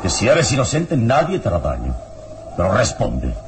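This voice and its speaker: An actor playing a calm, authoritative heroic adventurer in a radio serial, speaking deliberately. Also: male voice, on the low side, background noise. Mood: coaxing, probing. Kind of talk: old radio serial acting. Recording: old radio serial with music. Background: dramatic music.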